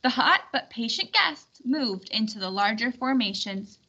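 A woman reading a storybook aloud as an expressive, animated, emphatic storyteller. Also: fairly high pitch, a little slow, breathy edge, highs cut off. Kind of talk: expressive scripted storybook narration.

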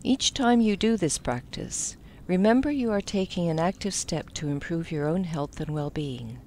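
A woman with a slightly low voice leads a guided meditation. She instructs gently, letting the ends of her phrases fall soft. There is some faint noise behind her voice. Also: expressive, moderate pace.

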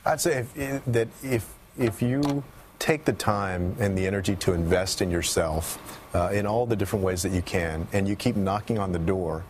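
Man in his 30s, low voice, measured and steady, faint studio noise. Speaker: mayor guest. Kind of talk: TV panel discussion. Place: TV studio set.

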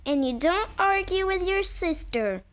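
Woman playing a stern, slow-talking mother. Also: extremely high pitch, expressive, voice pushed up. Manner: firm, reprimanding. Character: stern, scolding mother of squabbling girls. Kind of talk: animated roleplay story acting.

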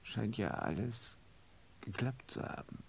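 A weak, grinning male patient in a radio play. His low voice is slow and creaky, on a hissy recording. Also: wildly swooping pitch, heavily acted. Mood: weak, sly. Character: weak, grinning man waking in a transplanted body.

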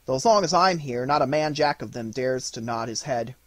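A man's voice: medium pitch, lively intonation, fairly quick, dry recording, lightly acted. A man voicing a boastful young boy in a storybook, assertive and swaggering. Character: boastful young boy playing commander of toy soldiers.

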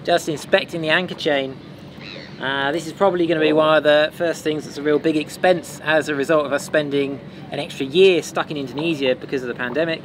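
A man's voice: on the high side, fairly quick. A man in his thirties, a sailing vlogger, casually explaining maintenance work on his boat outdoors. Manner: relaxed, practical, explains while working. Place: outdoors on a boat and a marina dock. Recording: outdoor noise behind.

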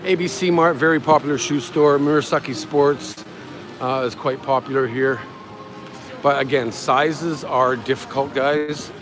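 A man's voice: mid-range voice, steady tone, measured pace, music in the background. A man giving an offhand, rambling walking-tour commentary as a travel vlogger, talking casually as he goes. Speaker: live-streaming travel vlogger and guide. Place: busy outdoor market street. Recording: outdoor street with crowd and traffic. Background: street crowd, passing vehicles.

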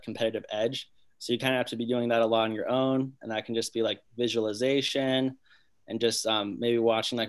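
A young man in his twenties speaks from a small room into a laptop webcam. His delivery is flat with little pitch movement, casual and confident, full of "like" and "kinda". He sounds relaxed and self-assured. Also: clear, resonant tone. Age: 20s. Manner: easygoing, confident, lots of "like" and "kinda". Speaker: college athlete. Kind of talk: casual press conference answers. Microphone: laptop webcam on a video call.

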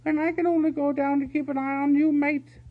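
A man voicing a pair of quarrelling weasel guards in a storybook reading, in a shrill, yelping manner. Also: flat delivery, a little fast. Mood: bickering. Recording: dull lo-fi recording with faint hum.

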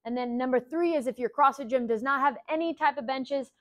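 A woman's voice: sits high, brisk, fairly bright tone, no room sound, studio quality. Upbeat woman coaching hip thrusts. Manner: upbeat, instructive, checks in with "okay?". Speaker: fitness coach. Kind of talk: exercise tutorial. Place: indoor gym.

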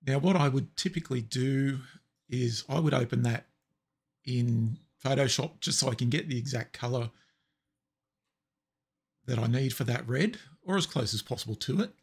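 A man with a raspy voice explaining software steps in a relaxed, step-by-step tutorial. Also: medium pitch, moderate pace, long pauses. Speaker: tutorial presenter. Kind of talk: screen-recorded software tutorial.

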